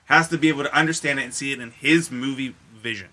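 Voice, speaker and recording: Man, podcast co-host, very bright sharp voice, mid-pitched, emphatic, blunt, casual chat. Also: quick pace. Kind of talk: casual chat about movies and games.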